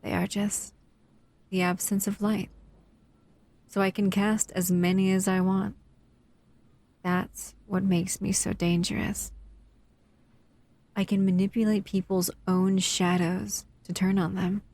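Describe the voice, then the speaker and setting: A woman's voice: medium pitch, flat delivery, slow, pauses often, a little mellow, no room sound. A woman voicing a captive female shadow monster who soothes and cuddles the listener in a close, intimate audio roleplay. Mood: calm, confiding. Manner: soothing, intimate, often whispering.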